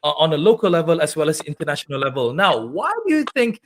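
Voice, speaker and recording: Upbeat male host from Malaysia, high voice, chatty questions. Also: very animated, quick pace, a little hiss or hum. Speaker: talk show host. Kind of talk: casual interview chat.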